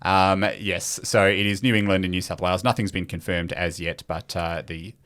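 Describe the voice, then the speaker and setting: Low-voiced Australian man hosting a quiz. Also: little pitch movement, normal speed, a bit bright. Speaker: quiz host. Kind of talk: casual quiz hosting with banter.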